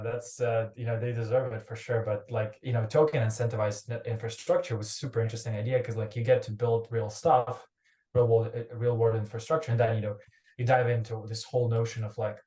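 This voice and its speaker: A man's voice: mid-range voice, a little mellow. A young man from Ukraine, a startup founder talking casually as a podcast guest, rambling and restarting his phrases. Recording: roomy echoey remote recording.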